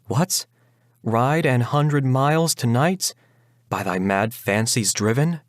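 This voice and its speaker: Young bride in mourning, played by a man: lifted pitch, yearning, plaintive, pleading, Gothic ballad style, unhurried. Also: dry recording, studio quality, lightly acted. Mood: anxious, questioning. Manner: plaintive, pleading, archaic speech. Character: grieving young bride, yearning, anxious, tender. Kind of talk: dramatic Gothic ballad recitation.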